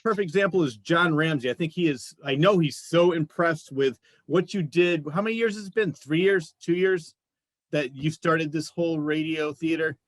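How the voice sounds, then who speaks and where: A man's voice: a little high, moderate pace, dry recording. A man hosting a casual, conversational interview show.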